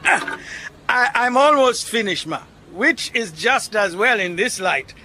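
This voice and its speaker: A kindly, bashful garage mechanic in a radio play, with a Southern African accent. His voice sits fairly high and is bright and swooping, yet he talks in a courteous, halting way. Insects and background noise are audible behind him. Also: animated, exaggerated voice. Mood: apologetic. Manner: hesitant, formal, courteous. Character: shy, kindly, worrying gentleman car mechanic. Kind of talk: radio drama acting. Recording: noticeable background noise, chirping night insects.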